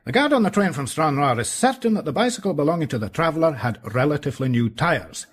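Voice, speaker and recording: A man's voice: fairly high pitch, expressive, fast talker, breathy edge. A man playing a dutiful, plain-spoken police inspector with a Scottish accent, deferential and practical, in a radio drama. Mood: deferential reporting. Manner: matter-of-fact, deferential.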